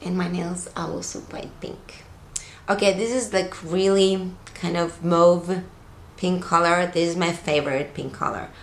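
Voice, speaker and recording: Give me an unhurried woman in her thirties, slightly low voice, talking through her makeup on camera. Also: roomy sound. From Latvia. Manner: relaxed, rambling, with fillers like "like" and "um". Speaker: beauty vlogger. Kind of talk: chatty makeup tutorial. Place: living room, on a sofa, indoors.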